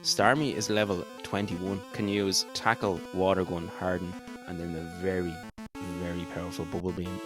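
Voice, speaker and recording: A man's voice: low voice, little pitch movement, normal speed, low-quality recording. An Irish man co-hosting a casual game playthrough podcast, chatting in a sweary, blokey way. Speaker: podcast co-host. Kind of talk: casual role-play game playthrough chat. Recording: music playing under the voice. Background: background music.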